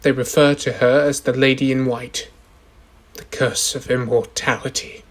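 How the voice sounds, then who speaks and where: A young man in a radio drama playing a solemn advocate reading a logbook aloud, in a deliberate, ominous dramatic style. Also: mid-range voice, little pitch movement, natural voice. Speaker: actor in a school radio drama.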